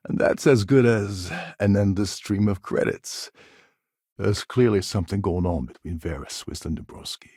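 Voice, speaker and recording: An actor voicing a cynical, scheming space pirate pilot in a video game, with a gravelly, husky voice and a wry delivery. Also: male voice, sits low, a little mellow, no room sound, clean studio sound, exaggerated voice. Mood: calculating, wry. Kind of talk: video game character dialogue.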